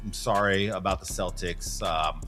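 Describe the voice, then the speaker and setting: A man's voice: low-pitched, moderate pace, bright, forceful tone, faint music behind. A man co-hosting a podcast, talking in a casual conversational style. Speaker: podcast co-host. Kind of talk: casual chat.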